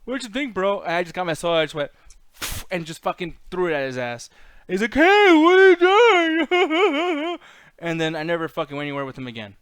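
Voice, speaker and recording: A man's voice: sits high, huge pitch swings, brisk, fairly bright tone, smooth, no room sound. A man co-hosting a casual chat, telling rambling, profane anecdotes and acting out the quoted lines. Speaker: co-host of a movie discussion show.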